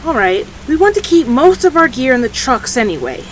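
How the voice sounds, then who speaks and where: A practical woman leading mages played by an actress talks with very lively intonation on the higher side. It is audio drama, with faint rain and vehicle sounds behind. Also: brisk, slight background noise, rough recording.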